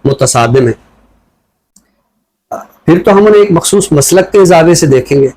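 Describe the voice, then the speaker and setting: A man with a Pakistani accent giving reflective talk show commentary in a deliberate, explanatory way, often posing rhetorical questions. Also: a little high, lively intonation, warm tone, poor audio.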